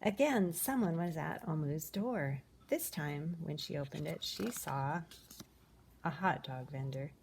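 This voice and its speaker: Low-voiced woman reading a children's storybook. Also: animated, a little slow, creaky, darkish tone, slight background noise. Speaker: storybook reader. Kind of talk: expressive read-aloud of a children's picture book.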